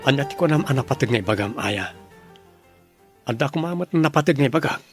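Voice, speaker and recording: Guy doing a worried young boyfriend in a radio play, soft and earnest, mid pitch. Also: animated, fast talker, over music, natural voice. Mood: troubled. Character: young man troubled over his girlfriend, earnest. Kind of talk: radio drama acting.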